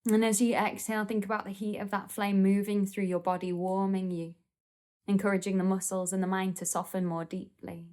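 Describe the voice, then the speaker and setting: A woman leading a guided meditation in a gentle, soothing, unhurried manner with pauses between phrases. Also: medium pitch, flat delivery.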